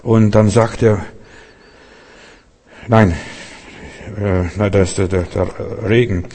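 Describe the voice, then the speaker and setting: A man's voice: on the low side, steady tone, slow, mellow. A man preaching a Christmas sermon in a church hall, fervent and direct, often repeating himself and questioning his listeners. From Berlin. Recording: long hall reverb.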